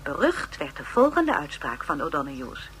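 A woman playing an encyclopedia narrator in a radio drama, giving formal, expressive, measured biographical narration. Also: sits low, husky, full character voice. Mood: informative, wry.

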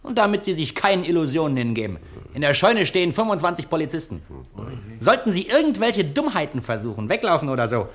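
An actor playing a curt, cocky young police lieutenant in a German radio comedy, barking and officious. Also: male voice, on the high side, very animated, fast talker, fairly bright tone. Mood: curt, smug.

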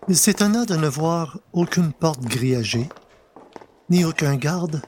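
A man narrating a literary story in French audio fiction, deliberately, pausing between short phrases. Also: fairly high pitch, darkish tone, a little hiss or hum.

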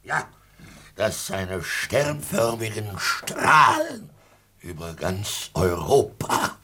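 An actor in a German radio play as a drunken, ranting amateur prophet, halting, stammering and emphatic. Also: male voice, fairly low pitch, wildly swooping pitch, pushed and bright, slightly rough, full character voice.

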